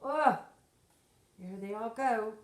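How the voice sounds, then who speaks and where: Slow, echoey woman reading a storybook. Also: fairly high pitch. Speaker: teacher reading aloud to children. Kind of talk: expressive storybook narration in rhyme. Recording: roomy echo.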